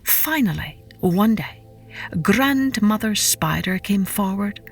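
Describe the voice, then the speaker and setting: A woman telling a scripted children's story as a podcast storyteller, dramatic and deliberate, repeating words for effect. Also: mid-range voice, expressive, slightly rough, soft background music.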